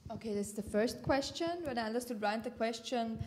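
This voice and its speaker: Brisk woman moderating a panel. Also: fairly high pitch, steady tone, darkish tone. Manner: brisk, organizing. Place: conference hall.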